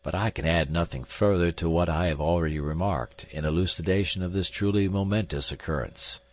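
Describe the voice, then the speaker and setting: A man voicing an absent-minded elderly professor who speaks softly and slowly, muttering to himself. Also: low voice, natural voice. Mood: mild, muttering. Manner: soft, slow, mumbling to himself.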